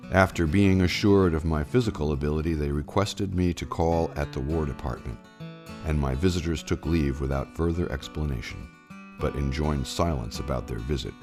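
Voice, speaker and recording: Male narrator, very low bass voice, scripted historical memoir, measured storytelling, formal period wording, soft guitar backing. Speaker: audiobook narrator. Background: plucked guitar music.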